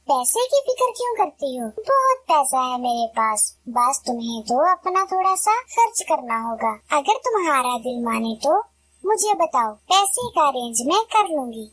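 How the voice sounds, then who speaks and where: A woman's voice: sits high, very animated, moderate pace, fairly bright tone, pitched below the performer's own voice. A woman voicing a scheming, sweet-talking female bird in a cartoon, coaxing and persuasive.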